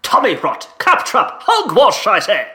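An actor playing a pompous, sputtering Victorian headmaster in a comedic audio drama. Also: male voice, high voice, wildly swooping pitch, measured pace, pushed and bright, exaggerated voice. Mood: outraged bluster. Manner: pompous, sputtering, old-fashioned exclamations. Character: pompous, blustering 1850s English boarding school headmaster.

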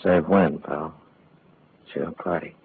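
A man's voice: low-pitched, steady tone, takes it slowly, husky, full character voice. An actor playing a sneering, cold-blooded gangster in 1940s radio crime drama, taunting in tough-guy slang. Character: menacing bald gunman and ex-convict, sneering, cold-blooded. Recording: muffled old radio recording with noise.

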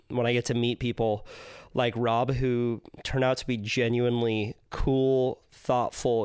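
A man hosting a podcast interview in a casual, thoughtful way, his voice hoarse and partly lost. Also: medium pitch, dry recording, clean studio sound. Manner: thoughtful, with frequent fillers and restarts. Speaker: podcast host.

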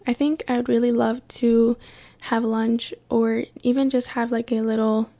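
A young woman born in Kenya, hosting a solo podcast and chatting casually, with a relaxed, filler-heavy way of talking. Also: on the high side, a little slow. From born in Kenya, raised in California. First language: Swahili. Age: young adult. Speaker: podcast host.

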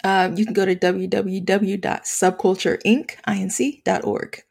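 A woman's voice: medium pitch, flat delivery, moderate pace, gravelly, darkish tone, slightly rough. A woman talking reflectively as an interview guest, in an earnest way that often halts mid-thought. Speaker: podcast guest, nonprofit founder working with students.

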